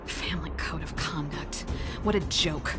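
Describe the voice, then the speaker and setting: A headstrong, rebellious young woman in a video game. She speaks in a slightly low voice, frank and sardonic, over clear background music. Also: a little slow. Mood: bitter. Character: headstrong rebellious young woman, still resentful of her father.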